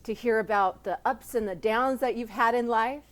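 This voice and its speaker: A woman's voice: on the high side, normal speed. A woman hosting a sit-down interview, speaking in an effusive, complimentary and empathetic way. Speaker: interviewer and host.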